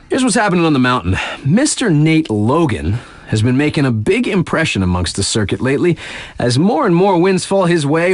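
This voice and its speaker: A cool, upbeat radio DJ for a snowboarding game. His voice sits a little high and swoops with lively inflection. He delivers news patter in chummy slang, hyping the riders, in a close, dry studio sound. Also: no room sound. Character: upbeat, cool male mountain radio DJ, laid-back hype.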